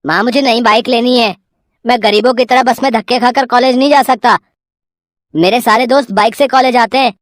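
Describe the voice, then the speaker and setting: A woman's voice: fairly high pitch, fairly even intonation, brisk, no room sound, studio quality, lightly acted. Woman voicing a spoiled, whiny teenage son. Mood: demanding, whiny. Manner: petulant, complaining.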